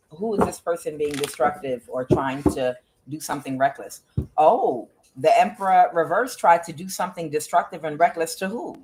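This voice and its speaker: A woman's voice: deep voice, a little mellow, a little hiss or hum, low-quality recording. Blunt, profane woman reading tarot. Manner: blunt and profane, with frequent "uh" fillers; questions the cards aloud as she reads. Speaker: tarot reader. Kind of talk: solo tarot reading to camera.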